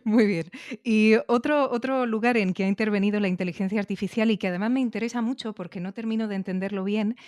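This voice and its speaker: A woman with a Spain accent conducts a conversational podcast interview in a dry space with no room echo. Her voice sits at a medium pitch, and she builds her questions deliberately, with curiosity. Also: darkish tone.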